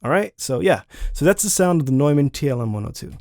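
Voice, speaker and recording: A man's voice: a little high, expressive, fast talker, gravelly, a little mellow, no room sound. A man giving a casual, chatty product review, talking informally to viewers on a close studio condenser microphone in a home studio. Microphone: close large-diaphragm studio condenser microphone.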